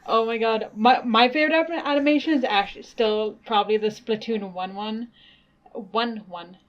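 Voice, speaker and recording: Giggly young woman with a high voice. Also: moderate pace. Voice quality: naturally high voice. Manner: giggly, excitable, rambling. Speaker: podcast co-host. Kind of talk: casual chat. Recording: dull lo-fi audio.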